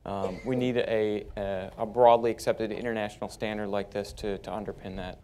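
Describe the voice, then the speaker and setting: A man speaking as a company panelist in a conference hall, measured and steady, with frequent fillers. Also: on the low side, a little hiss or hum. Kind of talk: panel discussion.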